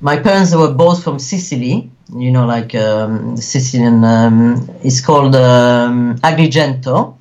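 Male guest actor, Belgian French accent, mid pitch, earnest rambling delivery, slightly dull recording. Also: unhurried, few pauses, a little mellow. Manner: earnest, rambling, many fillers. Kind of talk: casual interview.

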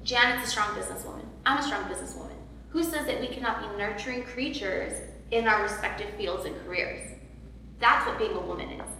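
A woman with a loud voice, telling her personal story earnestly as a guest in an interview, with many fillers and repeats. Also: medium pitch, fairly quick, vocal fry. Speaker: podcast guest. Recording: echoey hall from far off, faint noise.

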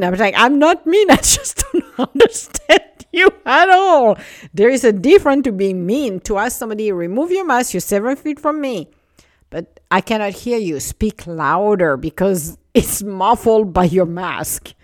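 Accented woman, solo podcast host, lively, laughs a lot, medium pitch, dry recording. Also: dry recording. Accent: non-native English accent. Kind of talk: casual solo podcast monologue.

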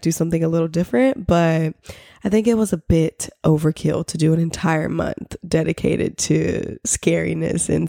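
A young woman hosting a casual podcast while sick, her voice congested, nasal and raspy, chatting with lots of fillers. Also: fairly low pitch, dark tone, no echo, clean studio sound. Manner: chatty, filler-heavy, with little laughs. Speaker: podcast host. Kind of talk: casual solo podcast chat.

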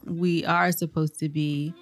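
A woman co-hosting a podcast in casual chat, bubbly and quick to laugh. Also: low-pitched, little pitch movement. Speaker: podcast co-host.

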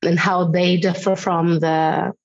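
A woman's voice: fairly low pitch, flat delivery, slow pace, vocal fry. Woman on a dull line. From Israel. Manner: thoughtful, frank, laughs often. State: slightly hoarse from illness. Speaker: singing teacher guest. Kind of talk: casual interview chat.

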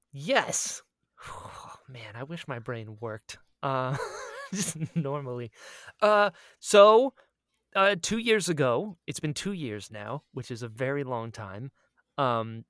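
Animated, self-deprecating man chatting casually. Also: medium pitch, no echo, clean studio sound. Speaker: podcast co-host and audio drama creator. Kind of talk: casual chat.

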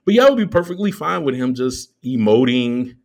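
A man co-hosting a casual film commentary, talking in a measured, halting way as he thinks aloud. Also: mid-range voice, animated, gravelly, a little mellow. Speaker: podcast co-host.

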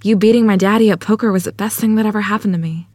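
A woman acting a gentle, sincere young rural bride from the 1920s at a screenplay table read, soft-spoken and measured. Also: medium pitch, fairly even intonation, no echo, clean studio sound, lightly acted.

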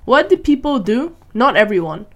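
A woman from Malaysia talking through her opinions in a casual solo monologue. She thinks out loud, asks herself questions and keeps checking in with "right?" and "you know?". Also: on the high side, big pitch swings, normal speed. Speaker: vlogger.

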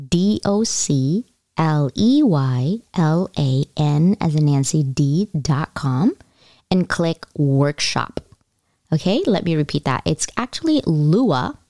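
A woman hosts her own podcast with upbeat, encouraging energy. She sometimes spells things out slowly and carefully. Her voice sits very low for a woman. The recording is dry and close, with no room sound. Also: dark tone, dry recording. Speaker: podcast host. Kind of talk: podcast intro and promo.

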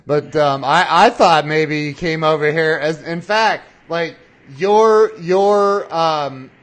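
A man hosting a casual interview, excitable and quick to react with surprise. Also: a little high. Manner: excitable, says dude and wow a lot. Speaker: interview host.

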